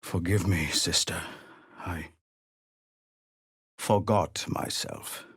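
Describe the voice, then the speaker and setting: A dignified middle-aged tiefling veteran leading refugees in a fantasy game. He speaks slowly and gravely, with formal, measured phrasing. The recording is close and dry. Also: low-pitched, steady tone, fairly bright tone, dry recording, full character voice. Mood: low, subdued. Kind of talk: video game character dialogue.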